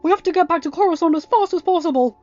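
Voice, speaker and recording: A woman's voice: extremely high pitch, rapid-fire, dark tone, lo-fi sound, pitched above the performer's own voice. A woman voicing an urgent, commanding male warrior-monk general in an audiobook, calling out emphatically. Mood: alarmed.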